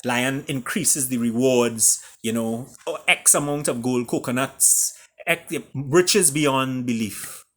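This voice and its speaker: A man with a Caribbean accent reading his own story aloud in a theatrical way, with deliberate pauses. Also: mid-range voice, fairly bright tone. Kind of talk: dramatic storytelling.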